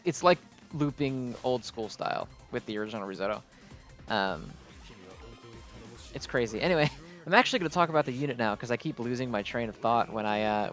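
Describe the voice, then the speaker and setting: A man hosting a gaming video with casual, unscripted commentary, rambling and interrupting himself as he explains. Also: mid-range voice.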